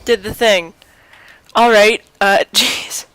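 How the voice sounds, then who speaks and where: A woman's voice: medium pitch, steady tone, slow pace, fairly bright tone, low-quality recording. Woman muttering through casual gameplay commentary. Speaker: game streamer. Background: game music.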